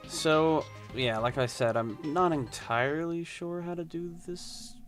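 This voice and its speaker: A man's voice: on the high side, measured pace, faint music behind. A young man playing a video game, reading its text aloud and chatting loosely with many fillers and restarts. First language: not Japanese. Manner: rambling, hesitant, many fillers. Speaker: let's play gamer.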